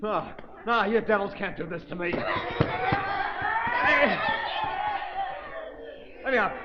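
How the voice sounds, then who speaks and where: An actor playing a scrappy, excitable young American pilot with slangy talk, in 1930s radio drama style. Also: male voice, high-pitched, slow, full character voice. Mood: struggling, panicky. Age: young adult. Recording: dull old radio recording, crowd clamour behind.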